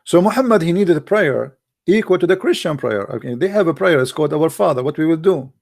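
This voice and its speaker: A man's voice: on the high side, animated, quick pace, gravelly, darkish tone. A man giving an argumentative religious monologue, full of rhetorical questions, in slightly broken English. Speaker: religious debater. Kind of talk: polemical monologue.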